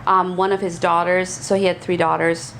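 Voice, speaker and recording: A woman's voice: fairly low pitch, little pitch movement, normal speed, gravelly, a bit bright, rough recording. A woman from Albania, a native Albanian speaker, chatting casually as a podcast host and laughing often as she tells rambling stories. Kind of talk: casual interview chat.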